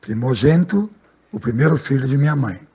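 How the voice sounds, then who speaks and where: A man talks through a math lesson at a steady, patient pace. His voice is very husky and raspy, sitting at an ordinary middle pitch. He keeps checking in with short little questions as he explains each step. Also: brisk. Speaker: math teacher.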